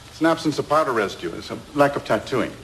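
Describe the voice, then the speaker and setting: A man's voice: mid-range voice, expressive, fast talker, a bit bright, a little hiss or hum, poor audio. A man playing a matter-of-fact coroner explaining forensic findings clinically in a radio crime drama.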